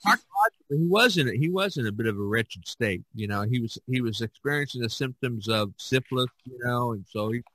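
A man hosting a podcast discussion, talking in an animated, emphatic way with many restarts. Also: mid-range voice, fairly quick, hardly any pauses, low-quality recording. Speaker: podcast host. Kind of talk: casual discussion.

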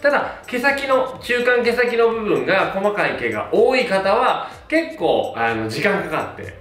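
A man in his thirties, a hairstylist, casually reviewing hair products with a relaxed, filler-heavy manner. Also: high-pitched, a little slow, distant mic. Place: small plain indoor studio. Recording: roomy sound, mic set back, faint music.

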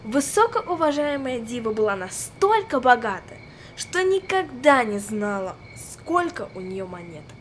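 A woman playing a storybook narrator for a children's cartoon, giving scripted narration in an expressive storytelling manner. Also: fairly high pitch, unhurried.

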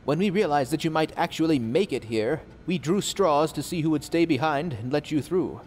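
A man acting as a nervous, apologetic video game scientist with a highish, very lively voice. He speaks formally and wordily, slipping in halting asides. The sound carries a long hall reverb. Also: natural voice. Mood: apologetic, explaining. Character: nervous, apologetic, talkative male scientist in a secret lab. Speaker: game character. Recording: long hall reverb, faint background noise.

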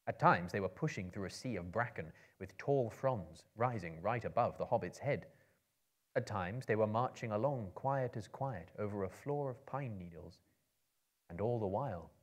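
A man in his thirties giving a theatrical, measured dramatic reading of a fantasy story. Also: lowish voice. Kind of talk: dramatic reading of a fantasy novel to a live audience. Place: book-lined room with a live audience.

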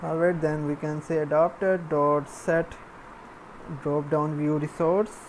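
A woman giving a step-by-step programming tutorial, dictating in a halting, piecemeal way as she works. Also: deep voice, flat delivery, a little mellow, slight background noise, rough recording. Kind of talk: step-by-step screencast tutorial, spoken while typing.

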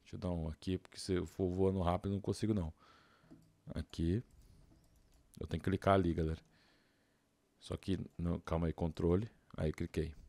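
A young Brazilian man hosts a gaming video in a low, mellow voice. He talks informally and with plenty of slang, thinking aloud as he plays. The sound is dry, with no room around it. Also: flat delivery, brisk, vocal fry, pauses often, no echo. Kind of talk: casual gameplay commentary.

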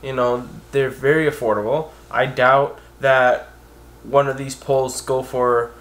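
A young man talking to camera in a casual vlog, earnest and animated, often rising in pitch as he makes his points. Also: a little slow. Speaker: vlogger on blindness. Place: indoors, a green-screen backdrop of store photos.

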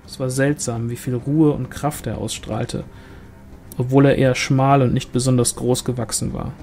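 Bright-voiced man reading a novel. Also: medium pitch, level tone, moderate pace, faint music behind. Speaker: audiobook reader. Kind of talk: scripted literary narration.

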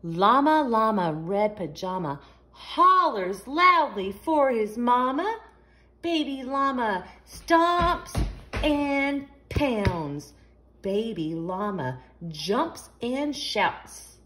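A woman's voice: a little high, very animated, very slow, sharp tone, a little hiss or hum. A woman hosting a children's storytime, reading a picture book aloud expressively in a sing-song, question-asking way. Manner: sing-song, asks the young listeners questions.

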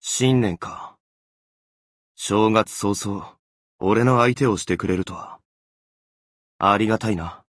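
A man voicing a gloomy, reserved young swordsman in a Japanese game, quiet and self-deprecating. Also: lowish voice, takes it slowly, pauses often, dry recording, studio quality. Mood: subdued. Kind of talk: Japanese game character voice lines.

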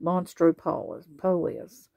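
A woman reading children's bedtime stories aloud in an expressive way. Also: lowish voice, slow pace, vocal fry, no room sound, muffled recording. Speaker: storybook reader.

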